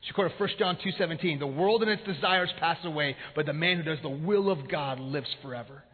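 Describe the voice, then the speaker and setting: A man from Iran, whose first language is Farsi, preaching a sermon as a pastor, swinging from loud passion to hushed tones. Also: fairly high pitch, brisk. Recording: phone-like sound with long hall reverb.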